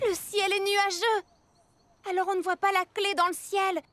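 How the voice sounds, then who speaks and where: A woman voicing an upbeat young woman in a French-dubbed cartoon. She speaks in an animated, expressive way, with music underneath. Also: very high voice, normal speed. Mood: excited.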